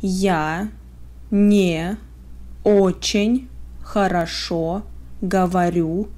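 Russian-accented woman tutor, mellow voice, very slow deliberate drilling. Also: fairly low pitch, fairly even intonation. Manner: word-by-word, carefully spaced pronunciation. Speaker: language teacher. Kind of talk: slow phrase drills for learners.

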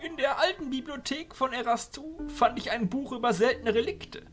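A woman's voice: high-pitched, moderate pace, over music, natural voice. A woman playing a dignified, grave male archchancellor with formal, courtly phrasing in an amateur audio drama.

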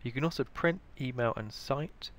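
A man's voice: mid-range voice, normal speed, a bit bright. A British man giving scripted instructional narration as the presenter of a training tutorial. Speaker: information librarian, tutorial presenter.

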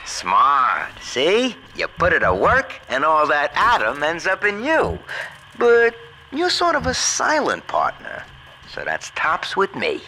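A man's voice: fairly high pitch, huge pitch swings, slow, full character voice. Wildly swooping slangy wise guy. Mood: chummy, approving. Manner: slangy, streetwise, chummy. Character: brash, wheedling male wise-guy guide. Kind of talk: 1940s American slang game dialogue. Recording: loud game noise behind.

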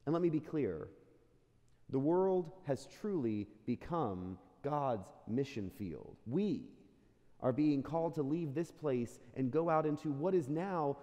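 An American man in middle age delivers a sermon from the pulpit. His voice is mellow and moves freely up and down in pitch. He speaks earnestly and deliberately, and the large sanctuary adds a long reverb. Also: animated, muffled recording. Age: middle-aged. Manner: earnest, deliberate rhetorical delivery with questions and pauses. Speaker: pastor, church rector. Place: large church sanctuary, indoors. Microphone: pulpit gooseneck microphone.

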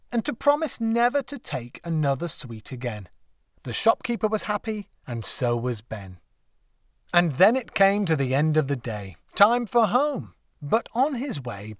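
Expressive, unhurried male children's narrator. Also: sits high, no room sound, clean studio sound. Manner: expressive, deliberate phrasing. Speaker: audiobook narrator. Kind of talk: scripted children's story narration.

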